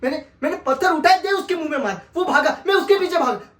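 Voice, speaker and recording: A woman performing a dramatic monologue as a desperate orphaned son, pleading with a sahib, stammering and swinging between hushed and wailing delivery. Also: on the high side, expressive, unhurried. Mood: haunted. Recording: echoey room.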